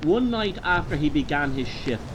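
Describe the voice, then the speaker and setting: A man with a Dublin Irish accent narrating a horror tale in a deliberate, theatrical pub-yarn manner. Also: on the high side, very animated, background noise. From Ireland. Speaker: storyteller. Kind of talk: dramatic horror story narration.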